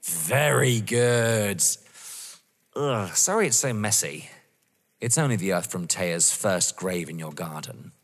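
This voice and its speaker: A man's voice: low voice, expressive, slow pace, heavily acted. An actor playing a vengeful young man running a sadistic game, mocking and toying with theatrical relish in an audio drama. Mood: menacing, mocking. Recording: long reverb.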